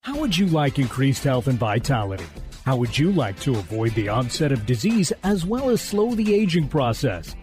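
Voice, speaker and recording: A man's voice: medium pitch, big pitch swings, normal speed, over music. A man reading a scripted advertisement as an announcer. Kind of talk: scripted ad read.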